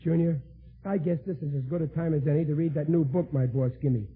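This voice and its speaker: A man's voice: on the high side, fairly even intonation, breathy edge. An actor playing a well-meaning, dim-witted middle-aged American working-class father in 1940s radio comedy style, blustering and folksy. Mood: fatherly. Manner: blustering, folksy, slow-witted delivery with malapropisms. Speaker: lead actor in a radio sitcom. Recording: tinny, narrow-band 1940s radio broadcast.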